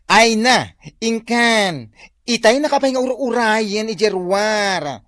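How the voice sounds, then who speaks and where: A woman's voice: fairly high pitch, animated, slow pace, fairly bright tone, no echo, studio quality. A woman playing a busy office coworker who hurriedly persuades a colleague to run an errand, in a comedy radio drama.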